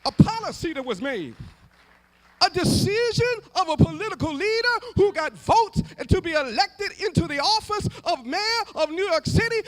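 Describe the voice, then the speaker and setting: An American man preaching an impassioned sermon at a live event, emphatic and rhythmic, building on repeated phrases and moving between hushed lines and shouted, half-sung cries. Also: very high-pitched. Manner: emphatic, rhythmic repetition for rhetorical effect. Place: live public event before a gathering.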